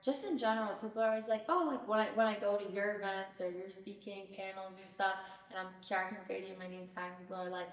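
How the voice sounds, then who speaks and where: A woman's voice: medium pitch, fairly even intonation, fast, hardly any pauses. Playful young woman, college-aged co-host. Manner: chatty and playful, acts out what others say. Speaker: co-host, daughter of host. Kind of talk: casual personal conversation. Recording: roomy, distant from the mic.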